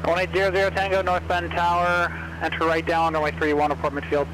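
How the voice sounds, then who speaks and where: A man speaking as an air traffic controller, giving clipped clearances over a radio. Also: fairly high pitch, flat delivery, fast talker. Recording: radio voice over engine hum. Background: engine hum.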